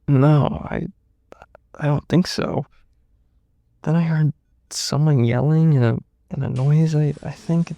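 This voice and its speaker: A man's voice: fairly high pitch, takes it slowly, vocal fry, pauses often, warm tone, no echo, highs cut off. A man playing a confused, shaken survivor under questioning in a naturalistic audio drama, hesitant and stammering as he speaks.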